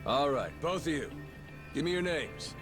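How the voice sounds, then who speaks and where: Man acting a brash, animated yakuza boss. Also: fairly high pitch, normal speed, music in the background, close to an everyday voice. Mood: commanding. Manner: blunt, sardonic, commanding. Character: brash, sly, commanding male yakuza boss turned pirate captain. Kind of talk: dramatic video game voice acting.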